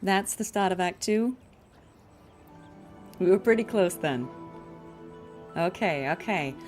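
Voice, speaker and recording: Female livestream host, lowish register, loose appreciative chatter over a clear music bed. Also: expressive, slow. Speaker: streamer and voice actress. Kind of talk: casual livestream chat.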